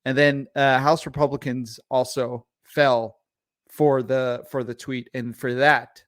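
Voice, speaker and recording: A man co-hosting a casual livestream, whose first language is Arabic. His voice sits at an average pitch and sounds dry and close to the mic. He rants heatedly and swears often, repeating himself as he builds steam. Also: flat delivery, takes it slowly, no echo. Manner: profane, heated, repeats words. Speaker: podcast co-host.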